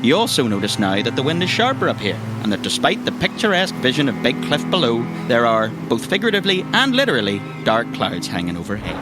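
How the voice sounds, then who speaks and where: A man's voice: medium pitch, huge pitch swings, fast talker, few pauses. A man with an Irish accent narrating a tabletop roleplaying game as game master, descriptive, with colloquial asides. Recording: music behind the voice.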